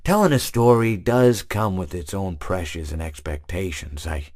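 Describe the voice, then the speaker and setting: A gentle old hippopotamus animatronic drifts from one tangent to the next, stammering on his restarts. The voice sits a little low but rises and falls a lot as he muses. It suits game voice lines for a harmless, rambling old creature. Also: expressive, normal speed.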